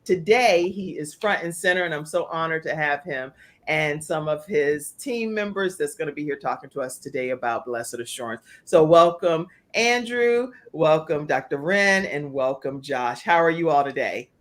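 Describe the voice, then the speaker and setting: A woman's voice: low voice, lively intonation, moderate pace. An American woman hosting a live online talk show in an enthusiastic, welcoming manner.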